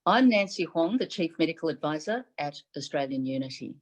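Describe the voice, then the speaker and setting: Low-voiced woman giving a formal address. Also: expressive. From Taiwan. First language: Mandarin Chinese. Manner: measured, formal delivery in short phrases. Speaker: chief medical advisor giving a symposium address. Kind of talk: prepared speech. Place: home room, indoors. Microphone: video call webcam.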